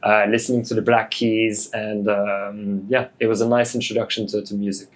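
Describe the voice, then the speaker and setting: Relaxed French-born man in his late twenties. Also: lowish voice, moderate pace. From France, raised in Hong Kong. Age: 20s. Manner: relaxed, thoughtful, many fillers. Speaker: DJ guest. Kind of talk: casual interview.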